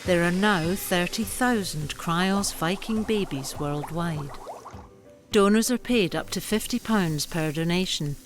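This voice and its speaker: Low-voiced woman narrating over music. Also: a little slow. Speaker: documentary narrator. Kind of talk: scripted narration. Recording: music under the voice.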